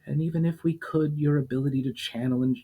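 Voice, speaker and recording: A man's voice: fairly high pitch, fairly even intonation, quick pace, dark tone, voice pushed up, close to an everyday voice. A man reading a musing water spirit woman. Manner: musing, thoughtful. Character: calm, knowing female water spirit.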